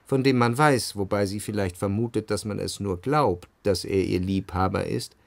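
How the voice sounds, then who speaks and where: An actor playing a reflective, well-off man of letters, narrating a literary radio play in a measured, analytical way. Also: on the low side, vocal fry, dry recording. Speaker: first-person narrator.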